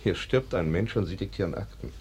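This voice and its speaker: A man's voice: sits low, animated, brisk, darkish tone. A man in a radio play acting a compassionate, indignant professor, earnest and solemn as a grieving former teacher. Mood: bitter, indignant. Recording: noisy archive radio play recording.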